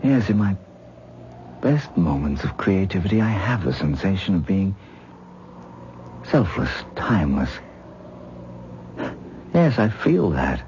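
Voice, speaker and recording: A man's voice: low voice, sing-song, slow pace, long pauses, full character voice. An actor playing an intense, earnest man who lectures his wife on astral projection in a vintage radio drama. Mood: tender, earnest. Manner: dreamy, earnest, lecturing, gently condescending. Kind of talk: 1970s radio drama acting. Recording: muffled old radio broadcast with faint hiss.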